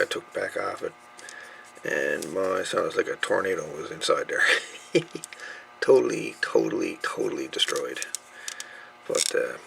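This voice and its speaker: Gravelly, creaky man giving casual repair update. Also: sits low, fairly bright tone, slight background noise. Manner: folksy, halting, unscripted delivery. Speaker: hobbyist radio repairer presenting own channel.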